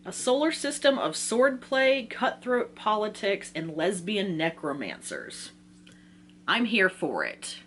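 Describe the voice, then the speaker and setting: A woman with a mid-pitched voice chats informally to her viewers as a book vlogger. Her manner is casual and self-deprecating. There is a faint hum and some noise in the recording. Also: very animated, takes it slowly, a bit bright. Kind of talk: casual chatty reading-list vlog.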